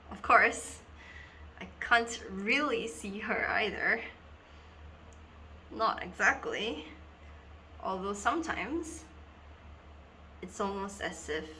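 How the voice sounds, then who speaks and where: A woman performing a dramatic monologue as a lonely, wistful girl who confides haltingly and theatrically in an invisible friend. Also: medium pitch, pushed and bright, a little hiss or hum, full character voice. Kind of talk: dramatic monologue for an acting reel.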